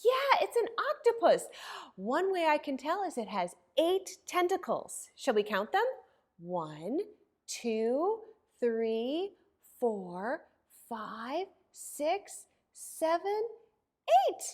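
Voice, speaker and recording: A woman librarian hosting an interactive story time for young children, expressive and sing-song, asking questions and pausing for answers. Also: sits high.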